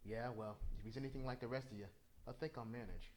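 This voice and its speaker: A man's voice: medium pitch, steady tone, quick pace, darkish tone, natural voice. Guy doing a cool sarcastic raccoon thief, young game hero, dry and easygoing delivery. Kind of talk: video game hero fan voice-over.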